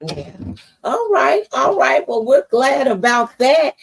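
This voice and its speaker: A woman's voice: sits high, big pitch swings, slow, vocal fry, slightly rough. Encouraging woman hosting faith radio talk. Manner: encouraging, preacherly. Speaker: radio talk show host. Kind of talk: faith-based interview and encouragement.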